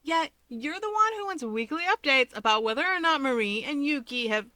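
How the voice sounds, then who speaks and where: A woman voicing a teasing, excitable young male hero in a breezy, playful, emphatic way for a story. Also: sits high, moderate pace, dry recording, voice pushed up.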